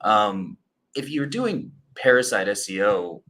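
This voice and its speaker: A man answering as a guest in a webinar, relaxed and conversational. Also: fairly low pitch, takes it slowly, a little hiss or hum. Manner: relaxed, conversational with "you know". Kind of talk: casual expert answers.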